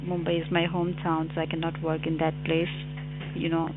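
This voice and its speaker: A woman's voice: deep voice, completely flat delivery, fairly quick. A woman on a phone call, talking casually and hesitantly, often asking the caller to repeat himself. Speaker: person receiving a call. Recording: tinny phone line with music.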